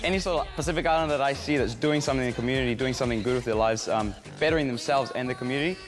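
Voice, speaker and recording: A man's voice: medium pitch, rapid-fire. A man in his twenties from Samoa, talking casually to camera as a featured guest. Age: 20s. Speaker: featured guest, CrossFit athlete. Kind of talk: casual profile interview to camera. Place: gym, indoors. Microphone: lapel. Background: music.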